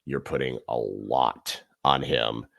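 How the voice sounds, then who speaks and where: A man co-hosting a casual podcast, giving animated, emphatic analysis of football matches. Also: a bass voice, slow, gravelly. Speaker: podcast co-host. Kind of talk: casual sports chat.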